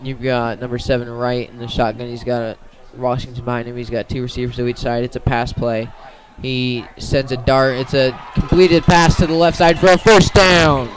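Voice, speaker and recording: A man doing live radio play-by-play of a high school football game in clipped, descriptive phrases. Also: level tone, moderate pace, few pauses, rough recording. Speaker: play-by-play sports commentator.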